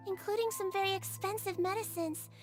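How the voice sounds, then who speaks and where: A woman voicing a small, gentle girl nurse in a video game, soft-spoken, earnest and composed, with precise wording. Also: extremely high pitch, steady tone, brisk, over music. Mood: wistful, reflective. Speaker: head nurse and doctor.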